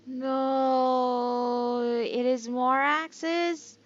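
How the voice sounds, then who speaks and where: A woman's voice: high-pitched, steady tone, extremely slow, muffled recording. A woman giving casual reactions as she plays through a video game. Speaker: game streamer.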